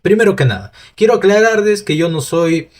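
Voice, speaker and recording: A man's voice: sits high, lively intonation, brisk. Talkative Peruvian man giving football opinions. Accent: Peruvian Spanish. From Peru. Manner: talkative, restarts often, rhetorical asides. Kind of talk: solo opinion commentary.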